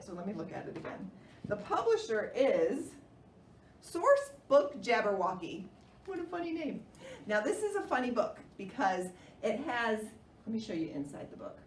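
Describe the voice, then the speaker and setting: A woman hosting storytime for young children, reading aloud in an animated, expressive way. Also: on the high side. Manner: animated, expressive, with theatrical gasps. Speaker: children's librarian and storytime host. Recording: roomy, distant mic, faint noise.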